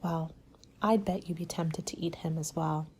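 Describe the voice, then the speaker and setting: A woman doing a soft-spoken ASMR roleplay as a helpful party planner, gentle and measured, often slipping into a whisper. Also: sits low, steady tone, a little hiss or hum.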